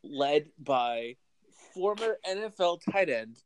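A podcast co-host chatting casually about a movie, incredulous and animated, stammering when excited. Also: mid-range voice, slow pace, no echo, low-quality recording. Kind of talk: casual movie recap chat.

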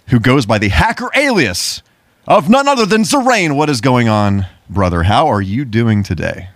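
A man's voice: medium pitch, no echo. A man hosting a podcast in casual chat, easygoing and agreeable, with a playful streak. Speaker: podcast host.

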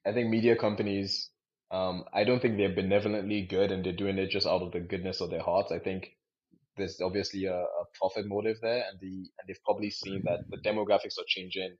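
A young man from Nigeria with a fairly low, raspy-edged voice co-hosts a casual discussion. He tends to restate his phrases as he thinks them through. The recording is a little dull and lo-fi. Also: level tone, a little fast. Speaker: podcast co-host. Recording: dull, lo-fi sound.

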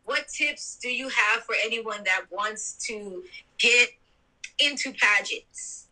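A woman's voice: a little high, takes it slowly, pushed and bright, poor audio. A middle-aged mother interviewing a guest, gushing and enthusiastic. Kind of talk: casual interview.

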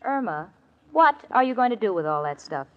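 An actress playing a sensible, exasperated young roommate in a 1940s radio comedy, with a wry, theatrical delivery. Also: female voice, mid-range voice, sing-song, normal speed, close to an everyday voice. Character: exasperated young woman roommate, sensible, sarcastic, long-suffering. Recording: muffled, noisy old radio broadcast recording. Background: studio audience, hiss.

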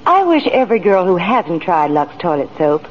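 A woman's voice: lowish voice, very animated, normal speed. A woman giving a scripted testimonial in a 1940s radio commercial. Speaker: advertising endorser. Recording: muffled, noisy old radio recording.

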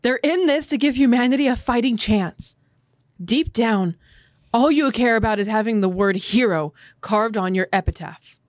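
A man's voice: unhurried, no room sound. A man voicing a blunt, sardonic young woman soldier in a raised, dry and mocking tone. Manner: low-key, dry and mocking.

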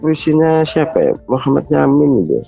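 A man's voice: on the high side, sing-song, moderate pace, vocal fry. A man chatting casually and reminiscing as a podcast guest. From Lamongan, East Java, Indonesia. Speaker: podcast guest, literacy activist. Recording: dull lo-fi audio with background music.

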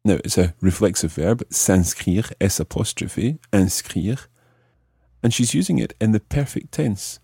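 A man with a low, clear voice teaches a language lesson in a deliberate way. His tone is mellow and the recording is dry and close, as he moves between explanations and French examples. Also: lively intonation, no room sound.

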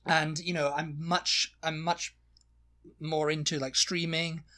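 A man's voice: fairly high pitch, little pitch movement, slow, pushed and bright. A man from Britain with a light, watered-down British accent, co-hosting a casual podcast chat, rambling and joking and slipping into other accents. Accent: light British with Welsh touches. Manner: rambling, jokey, puts on accents mid-story. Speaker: podcast co-host.

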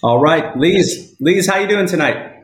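A man's voice: fairly high pitch, lively intonation, measured pace. A man hosting a casual live online talk show from home, chatting with guests. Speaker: live chat show host. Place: indoors at home. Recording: roomy home echo.

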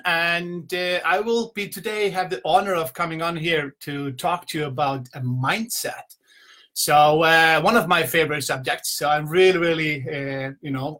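A man's voice: fairly high pitch, sharp tone. A middle-aged man from Iceland with an Icelandic accent, giving an informal motivational talk from home in a conversational, rambling storytelling manner. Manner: conversational, rambling storytelling with repeated "you know". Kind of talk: informal motivational talk to a live online audience. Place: at home, indoors.

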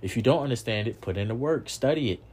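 A man's voice: mid-range voice, expressive, quick pace, a little mellow, slightly rough. A young man hosting a podcast, giving a preachy motivational monologue, repeating himself and swearing casually. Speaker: podcast host.

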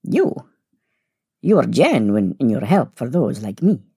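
Woman voicing a husky, creaky theatrical male vampire. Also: a bass voice, sing-song, warm tone, dry recording, clean studio sound, exaggerated voice. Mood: intent, musing. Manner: arch, exclamatory, deliberate dramatic phrasing. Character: chatty genteel male vampire, old, tetchy-fond, theatrical. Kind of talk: acted audio story dialogue.

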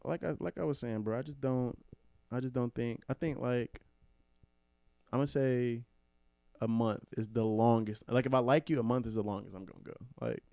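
A man's voice: medium pitch, moderate pace, creaky, warm tone, no echo. A young man talking bluntly on a casual podcast. He uses heavy slang and keeps circling back to his point. His voice sits in the middle range and sounds dry and close.

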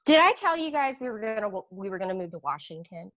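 A woman's voice: mid-range voice, sing-song, fast, a little hiss or hum. A woman guest, an aerial yoga instructor, chatting casually on a video call and filling her talk with "like" and laughter. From Philippines, raised in Pennsylvania. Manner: chatty, warm, many "like"s and laughs. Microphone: computer video call.

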